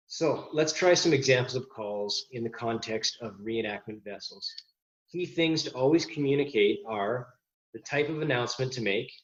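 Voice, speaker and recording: Man presenting a lesson, dull lo-fi sound. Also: mid-range voice. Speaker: presenter giving a safety lesson to boat reenactors. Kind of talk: informal instructional presentation. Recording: dull, lo-fi voice recording.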